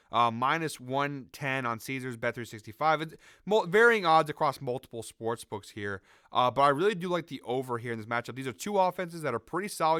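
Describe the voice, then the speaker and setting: A man hosting a sports betting show, chatting casually through his picks while sick, with a raspy, nasal voice. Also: on the high side, bright, forceful tone, dry recording, clean studio sound. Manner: conversational, hedging, frequent fillers. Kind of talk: casual picks commentary to camera.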